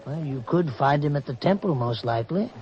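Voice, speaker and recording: A man's voice: fairly high pitch, expressive, a little fast, lightly acted. An actor playing a muddled, testy old townsman in a biblical radio drama, dithering as he talks. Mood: musing. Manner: dithering, hemming and hawing. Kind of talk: old-time religious radio drama acting. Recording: dull, noisy old radio recording.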